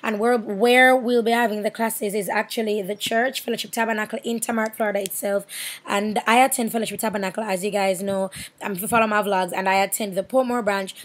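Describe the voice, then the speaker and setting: A hoarse young woman with a Jamaican accent, rambling casually with many fillers in a makeup tutorial voiceover. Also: mid-range voice, fairly even intonation, brisk. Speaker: makeup artist.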